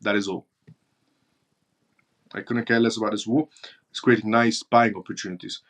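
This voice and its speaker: Blunt guy from iran hosting a live stream, reads out chat questions, keeps hammering the same point, kinda low voice. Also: steady tone, normal speed, a bit bright. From northern Iran. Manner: blunt, repeats his points for emphasis. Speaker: live-stream show host. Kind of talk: live-stream talk answering viewer chat.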